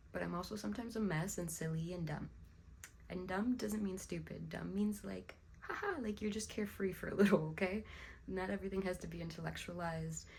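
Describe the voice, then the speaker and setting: Young woman vlogger, a little hoarse, low-pitched with vocal fry; musing, unscripted, throat-clearing delivery. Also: darkish tone, slight background noise. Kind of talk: casual reflective vlog talk.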